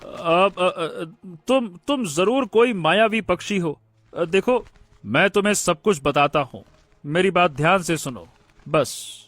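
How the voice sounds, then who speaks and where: A man playing a gallant young prince in an animated fairy tale, speaking earnestly and politely. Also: high voice, slow, dry recording, exaggerated voice. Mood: startled, confused. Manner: earnest, polite, stammers when startled.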